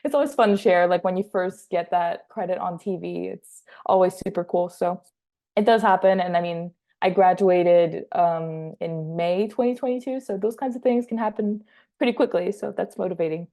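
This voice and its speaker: A young woman with a French Canadian accent talks students through her work in a loose, chatty way, full of fillers and restarts. Her voice sits a little low and sounds warm and mellow. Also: normal speed. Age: 20s. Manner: chatty, many fillers and restarts, light laughs. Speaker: guest speaker, assistant editor. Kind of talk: casual slideshow talk to students.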